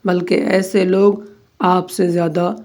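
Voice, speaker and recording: A woman reading out scripted quotations as a narrator, in measured, deliberate phrases with pauses. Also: lowish voice, flat delivery, darkish tone. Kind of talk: scripted recitation of Urdu quotes.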